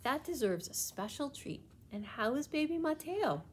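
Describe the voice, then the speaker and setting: A woman's voice: high-pitched, very animated, moderate pace, slight background noise, voice pushed up. Woman reading as a kindly, attentive grandfather.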